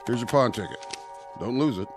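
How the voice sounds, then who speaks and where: An actor playing a polite, shrewd and businesslike pawnbroker in a radio drama. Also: male voice, medium pitch, fairly quick, music in the background, lightly acted. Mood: dry, businesslike.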